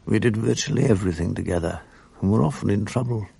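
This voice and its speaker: An actor playing a reflective English man who narrates memories of boarding-school days in a measured, articulate, literary way. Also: on the low side, creaky, dark tone, breathy edge, no echo, full character voice. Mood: wistful, reflective. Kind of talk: literary first-person drama narration.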